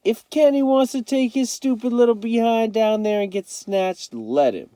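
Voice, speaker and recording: A streetwise big brother from a storybook, voiced by a man. He is bossy and dismissive, with slangy taunts that jump around in pitch. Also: expressive, normal speed, dark tone, no echo. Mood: taunting.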